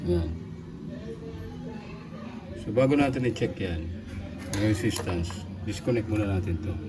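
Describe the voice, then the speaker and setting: Filipino man, low-pitched voice, casual repair vlogger, halting and repetitive delivery, loud music behind. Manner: halting, repeats himself as he works. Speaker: electronics repair technician and vlogger. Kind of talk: casual hands-on repair walkthrough.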